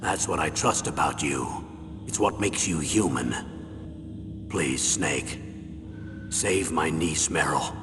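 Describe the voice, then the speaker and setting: An actor playing a weary older retired colonel in a video game, earnest and measured like a military briefing. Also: male voice, rumbling low, expressive, music in the background, heavily acted. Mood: pleading. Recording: very reverberant hall, loud background noise.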